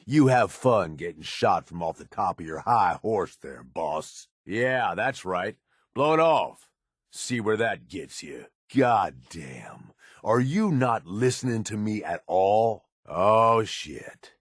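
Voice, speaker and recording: Jaded middle-aged American raider for a game: deep, raspy, gruff and blunt, recorded close and dry. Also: male voice, animated, slow pace, dry recording, full character voice. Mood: angry, scornful. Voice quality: husky, gravelly low voice. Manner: gruff, blunt, folksy tough talk. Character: jaded, foul-mouthed middle-aged male raider right-hand man. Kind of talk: video game companion dialogue.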